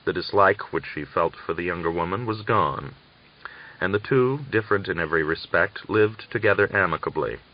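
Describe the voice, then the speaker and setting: A man reading a literary novel as an audiobook narrator, in an expressive storytelling style. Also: low voice, moderate pace. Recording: dull lo-fi audiobook recording.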